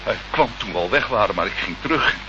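An actor playing a frightened small-time crook in a radio play, pleading, stammering and evasive. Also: male voice, on the low side, animated, quick pace, close to an everyday voice. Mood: cornered, pleading. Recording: muffled, noisy old radio recording.

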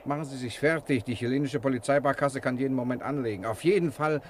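An actor playing a cunning con man posing as a detective in an old German radio crime drama, smooth-talking, mocking and persuasive. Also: mid-range voice, fast talker, close to an everyday voice. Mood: mocking, commanding. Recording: faintly noisy old radio drama recording.

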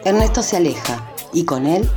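Radio theatre narrator: woman, lowish voice, lively intonation, scripted delivery over clear music. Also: normal speed.

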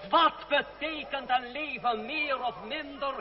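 An actor playing a daring, sardonic young revolutionary in a radio play, declaiming in a rousing way. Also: male voice, very high-pitched, fairly even intonation, takes it slowly. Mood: rousing, declamatory. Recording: dull noisy old radio recording. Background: outdoor crowd clamour.

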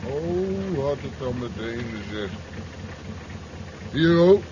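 An actor playing a cheeky, rebellious truck driver in a radio drama, with a coarse, slangy, mocking way of talking. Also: male voice, lively intonation, slow pace. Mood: insolent, mocking. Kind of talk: 1970s radio drama acting. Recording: dull, noisy 1970s radio recording, engine rumble. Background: vehicle engine noise.

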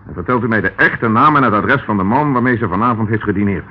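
An actor playing a persistent police inspector who questions firmly and insistently. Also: male voice, lowish voice, fast, slightly rough. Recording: tinny old radio broadcast, faint hiss.

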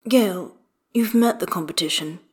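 A woman's voice: lowish voice, moderate pace, darkish tone, slightly rough. A woman voicing a flamboyant, teasing man with an arch, theatrical manner in an audio story. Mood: confident.

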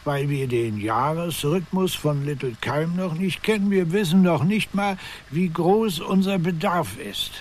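An actor in a radio drama playing a courteous, diffident settler who speaks haltingly and searches for words. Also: male voice, on the high side. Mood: eager, explaining. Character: Ed, diffident, courteous settler planning farmland, deferential. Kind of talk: naturalistic German radio drama acting.

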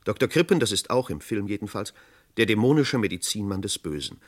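Low-voiced 1960s radio crime narrator. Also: male voice, very animated, a little fast. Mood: measured, rhetorical. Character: male narrator of a 1960s true-crime radio documentary drama.

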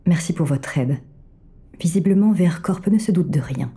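An actress playing a courteous, mysterious female operative in an audio thriller, speaking in a formal, measured way. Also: on the low side, expressive, heavy background noise. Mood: soothing, condescending.

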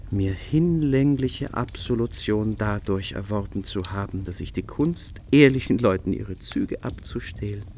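An actor playing a remorseful, secretly tender schemer in a literary radio play, brooding theatrically and at times dropping into a whisper. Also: male voice, lowish voice, darkish tone, a little hiss or hum. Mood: brooding, self-justifying. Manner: slow, brooding, theatrical soliloquy with whispered phrases.

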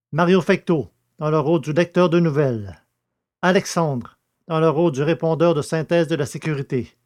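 Guy with a highish voice reading scripted cast credits for an audio play. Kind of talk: scripted audio drama introduction.

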